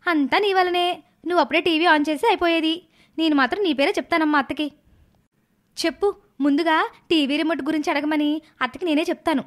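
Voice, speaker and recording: A woman voicing a silly, stubborn young niece, girlish and quarrelsome, in an animated moral story. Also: high voice, close to an everyday voice.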